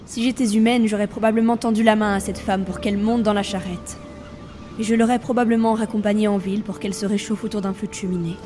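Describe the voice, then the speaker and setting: A woman's voice: mid-range voice, steady tone, fast, mellow, slight background noise, lightly acted. A woman playing Death as a weary reaper burdened by the role, in a grave, theatrical audio drama monologue. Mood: brooding, torn. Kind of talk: narrated audio fiction, dramatic first-person monologue. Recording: music behind the voice.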